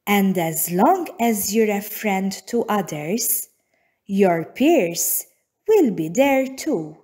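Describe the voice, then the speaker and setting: Female children's read-aloud narrator. Extremely unhurried, pausing between phrases, with lively rises and falls. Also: mid-range voice, slightly rough. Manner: deliberate, measured phrasing with frequent pauses. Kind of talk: scripted storybook narration for young children.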